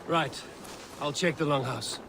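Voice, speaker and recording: Terse, practical Viking raider. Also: male voice, on the high side, measured pace, vocal fry, background noise. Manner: terse, dry. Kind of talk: dramatic video game acting. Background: music.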